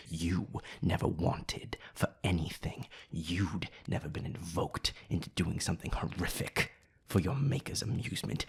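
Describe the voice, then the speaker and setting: A man voicing a haughty, scornful vampire with a raspy, breathy voice in a scripted audio drama. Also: low voice, exaggerated voice. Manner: haughty, cutting, sardonic. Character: scornful, condescending male vampire, bitter rival. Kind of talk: scripted audio roleplay acting.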